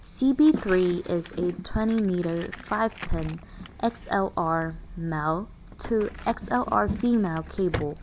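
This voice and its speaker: A woman's voice: on the low side, measured pace, noisy background. A woman reading a scripted product overview in a formal manner, listing specifications. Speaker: narrator.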